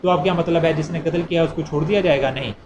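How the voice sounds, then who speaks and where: ऊँची आवाज़ वाला पाकिस्तानी पुरुष शिक्षक. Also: male voice, fairly high pitch, fast talker. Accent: Pakistani. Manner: didactic, repeats points, poses and answers questions. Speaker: law lecturer. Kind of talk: informal explanatory lecture. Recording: clearly noisy amateur recording.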